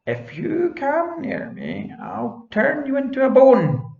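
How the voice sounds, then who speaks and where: A cruel green-eyed witch screeches her threats, high and loud, as a man reading a storybook puts her on. Her voice is shrill and spiteful. The recording is dull and echoey. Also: sing-song, unhurried, gravelly, full character voice. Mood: furious.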